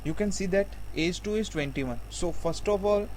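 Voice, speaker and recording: A man giving a programming tutorial, explaining step by step in a halting, repetitive way. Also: high voice, darkish tone, low-quality recording. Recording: faint background noise.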